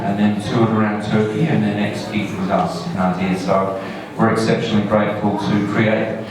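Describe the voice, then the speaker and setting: A man speaks from some distance in a heavily reverberant hall, with an audience audible around him. His voice sits fairly low. He introduces a show informally and haltingly, often pausing partway through a phrase. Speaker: school staff member introducing a show. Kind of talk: informal live introduction speech. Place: echoey indoor venue before an audience. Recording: echoey hall, distant mic, audience sounds.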